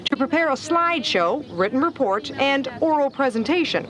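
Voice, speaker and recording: A woman's voice: sits high, lively intonation, normal speed, a bit bright. A woman with an American accent narrating a feature news report in a playful, wry way.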